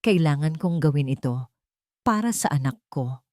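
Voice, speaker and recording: A Filipino-accented woman voicing a heartbroken but resolute expectant mother in an audio drama, speaking quietly and emotionally. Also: deep voice, expressive, normal speed, dry recording, voice pushed down.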